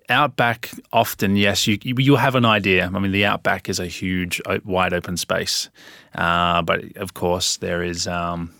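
A man from Australia with an accent between Australian and British, talking in a relaxed, rambling way as a podcast guest. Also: deep voice, vocal fry, no echo, clean studio sound. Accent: Australian with British influence. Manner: relaxed, rambling with fillers and restarts. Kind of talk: casual interview.

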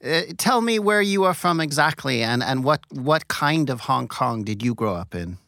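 Measured male podcast host, not a native English speaker. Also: medium pitch, animated, vocal fry, a bit bright, dry recording, studio quality. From Belgium. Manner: measured, thoughtful questioning with restarts. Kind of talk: scripted intro then interview.

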